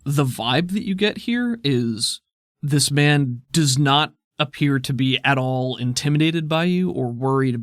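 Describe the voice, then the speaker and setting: A man running a tabletop game as game master, narrating in a relaxed, descriptive way. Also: medium pitch, dry recording, clean studio sound. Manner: relaxed, descriptive, often slows down for imagery.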